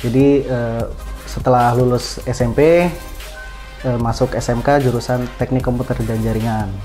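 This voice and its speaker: A man's voice: medium pitch, steady tone, normal speed, mellow. A young man answering casual interview questions modestly, in short plain replies. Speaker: interview guest, cybersecurity freelancer. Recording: faint music behind. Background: faint background music.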